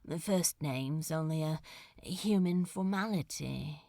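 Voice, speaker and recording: A woman voicing a laconic, awkwardly kind male demon with a frank, halting manner in an audiobook. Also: low voice, creaky, warm tone, no echo, clean studio sound, voice pushed down, exaggerated voice. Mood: matter-of-fact.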